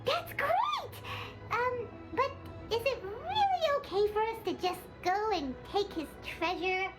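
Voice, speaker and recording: Tiny squeaky fairy sidekick, bouncy and lively, chatty, over loud game music. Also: female voice, takes it slowly, heavily acted. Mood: excited. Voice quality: very high, squeaky. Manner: excitable, chatty. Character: tiny floating female fairy companion, excitable. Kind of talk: game dialogue.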